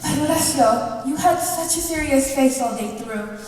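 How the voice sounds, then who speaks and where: Young woman as very high-voiced young bride. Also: moderate pace, darkish tone, soft background music, close to an everyday voice. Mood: happy, coaxing. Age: young adult. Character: devoted young bride, playful, then alarmed. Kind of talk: school stage play acting. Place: indoor theatre stage. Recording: echoey theatre stage, distant mic.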